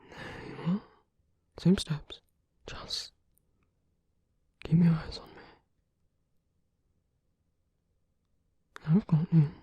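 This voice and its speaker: A woman performing an ASMR roleplay as a suave, teasing, flirtatious villain, in a breathy, husky half-whisper with slow, coaxing murmurs. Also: mid-range voice, mellow, dry recording, heavily acted. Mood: coaxing, smug. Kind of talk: ASMR roleplay, intimate scripted monologue.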